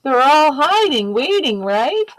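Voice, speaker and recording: Female storytime teacher, very lively pitch, unhurried, chatting to children between lines of a picture book. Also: dry recording. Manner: animated, asks the listeners questions. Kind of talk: expressive read-aloud with asides to young listeners.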